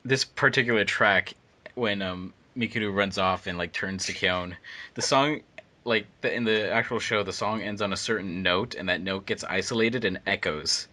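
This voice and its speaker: A man with a fairly low but bright, forceful voice. He chats casually, as an editor would on a commentary track. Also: normal speed. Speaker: fandub audio editor.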